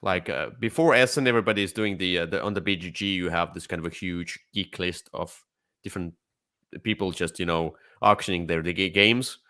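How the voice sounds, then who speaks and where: A man co-hosting a casual board game discussion, enthusiastic, with halting, repetitive phrasing. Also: low voice, pushed and bright. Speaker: co-host.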